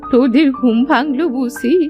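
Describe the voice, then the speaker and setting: High-pitched kindly old ghost granny. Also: female voice, moderate pace, darkish tone. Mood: doting. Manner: doting, folksy, reassuring. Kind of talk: children's cartoon dialogue. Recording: music behind the voice.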